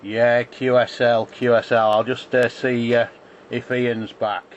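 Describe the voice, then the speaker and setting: Flat-toned English man on ham radio. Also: mid-range voice, measured pace. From England. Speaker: amateur radio operator. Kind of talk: casual ham radio contact. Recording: dull sound with faint radio noise.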